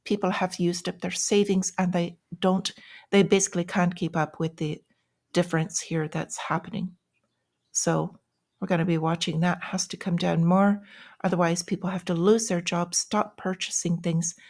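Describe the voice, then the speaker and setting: A woman's voice: fairly low pitch, little pitch movement, normal speed, darkish tone. A woman giving informal news commentary in a plain-spoken, explanatory and cautionary way, as the host of a financial vlog.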